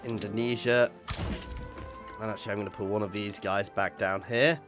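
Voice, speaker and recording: A man's voice: on the low side, fairly even intonation, moderate pace, a bit bright, soft background music. A man giving casual let's play commentary on a strategy game, thinking aloud with playful exclamations. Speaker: gaming video host.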